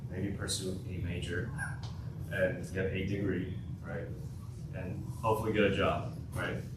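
A man's voice: low voice, level tone, measured pace, background noise. A man in his twenties from South Korea, a native Korean speaker, giving a casual youth workshop talk and often asking the audience questions. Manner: conversational, asks the audience many questions. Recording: echoey hall, voice from far off.

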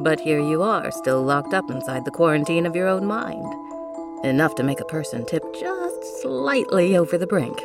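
Low dramatic woman narrator over music. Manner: dramatic, brooding, drawn-out phrasing. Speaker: narrator, presenter of audio drama. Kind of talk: theatrical scripted narration. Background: mallet percussion music.

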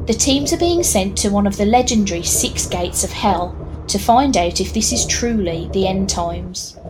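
A woman playing a reluctant hired narrator of an audio horror tale, with a deadpan, wry delivery. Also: mid-range voice, normal speed, lightly acted. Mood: dry, sardonic. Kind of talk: scripted narration with deadpan asides. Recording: clear background noise and ambience.

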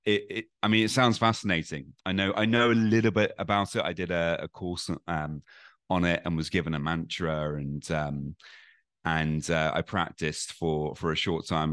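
A man hosting a podcast interview, asking halting, thoughtful questions with many restarts. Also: low-pitched, fairly bright tone, no room sound, clean studio sound. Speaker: podcast host and coach.

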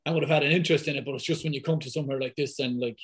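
Male guest, thick Irish accent, mid pitch, rapid chatty delivery. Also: husky. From west of Ireland. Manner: fast, lively talker, many fillers. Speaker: guest, football fitness coach. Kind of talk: casual podcast conversation.

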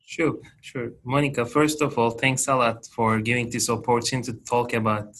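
A man's voice: mid-range voice, level tone, moderate pace, fairly bright tone. A man in his forties with a Turkish accent, a guest researcher answering interview questions earnestly and methodically, with small restarts. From Turkey.

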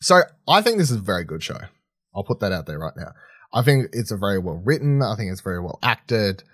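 Man, low voice, dry close sound, podcast co-host, unscripted, rambling and halting, sweary. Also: big pitch swings, breathy edge, no room sound. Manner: rambling, halting, self-correcting mid-sentence; casual swearing. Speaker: podcast co-host reviewing film and TV. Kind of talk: casual unscripted chat.

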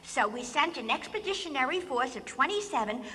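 A woman's voice: high voice, expressive, fairly quick, sharp tone, in a large hall, a little hiss or hum. A woman playing a naive, fretful female alien in a comic radio drama, politely earnest and full of questions. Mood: worried. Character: naive, fretful blue-green female alien from a distant planet. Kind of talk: comic radio theater acting.

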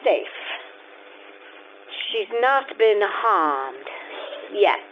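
A woman's voice: mid-range voice, big pitch swings, extremely slow. A woman playing a menacing, imperious fortune-teller crook with stately delivery in an old-time radio drama. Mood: cold menace. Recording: thin phone-like sound, faint noise.

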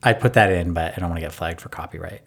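A man co-hosts a podcast in a relaxed, casual way and trades playful jokes. His voice is low and a little husky. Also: quick pace. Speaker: podcast co-host. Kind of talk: casual chat.

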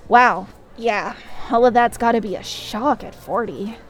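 A woman's voice: on the high side, a little slow, lightly acted. Actress as a reserved, supportive female date. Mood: gently supportive. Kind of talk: naturalistic audio drama dialogue.

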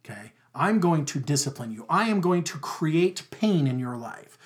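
A middle-aged man with a loud voice, talking emphatically in a casual podcast conversation and repeating his key words. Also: on the high side, very animated, moderate pace. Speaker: podcast guest, father.

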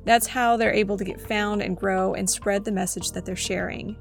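A woman's voice: mid-range voice, steady tone, normal speed, creaky, soft background music. Scratchy-voiced woman hosting a devotional podcast. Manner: earnest, reflective storytelling. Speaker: podcast host. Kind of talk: scripted devotional podcast narration.

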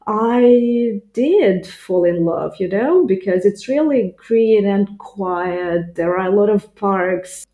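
A woman's voice: mid-range voice, dark tone, echoey room. A woman from Ukraine with a Ukrainian accent, giving casual interview answers as a podcast guest. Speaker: podcast guest, language teacher.

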